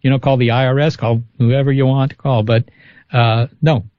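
A man's voice: mid-range voice, flat delivery, moderate pace, dry recording, highs cut off. A man being interviewed as a scientist on a call-in radio show, chatty, laughing often and restarting his sentences. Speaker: guest scientist.

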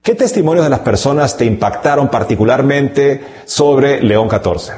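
A man's voice: medium pitch, animated, a little mellow. Courteous male TV interviewer. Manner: measured, courteous questions. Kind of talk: formal broadcast interview.

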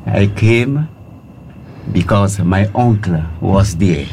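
A middle-aged man from Togo with a French West African accent, telling his story as a guest in halting, repeated, exclamatory phrases. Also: lowish voice, a little mellow, slight background noise. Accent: Togolese French. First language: French or a Togolese language. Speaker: interview guest. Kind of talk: spontaneous storytelling in an interview.

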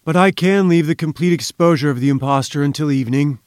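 A man voicing a blustering, scornful professor in an audiobook, with a booming and pompous delivery. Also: quick pace, darkish tone, no echo, studio quality, natural voice. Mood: scornful, irritated.

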